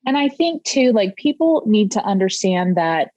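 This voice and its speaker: Earnest woman guest, mid pitch, dry muffled sound. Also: big pitch swings, moderate pace, a little mellow, no echo. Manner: earnest, repeats phrases for emphasis. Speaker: podcast guest, life coach. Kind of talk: casual conversation. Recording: dull lo-fi sound.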